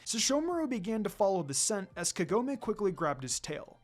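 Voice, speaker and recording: Animated storyteller with music behind. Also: mid-range voice, fairly quick. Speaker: story reader. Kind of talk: scripted narration of fan fiction, read aloud.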